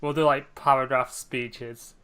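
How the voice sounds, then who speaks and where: A man's voice: medium pitch, level tone, measured pace, fairly bright tone, smooth. A young man reading fan fiction aloud, casual, dropping offhand asides and laughing. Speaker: story reader, channel host.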